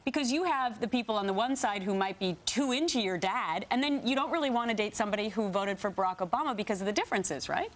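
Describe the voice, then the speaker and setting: A woman co-hosting a morning TV show, introducing segments with a lively, teasing manner from the studio. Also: mid-range voice, fast talker, hardly any pauses. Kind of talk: morning TV banter.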